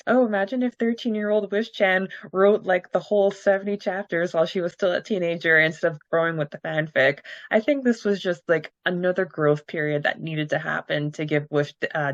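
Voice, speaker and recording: Lowish-voiced woman, dull lo-fi mic. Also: dry recording. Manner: thoughtful, supportive, a little halting. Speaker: podcast co-host. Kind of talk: casual fan podcast chat.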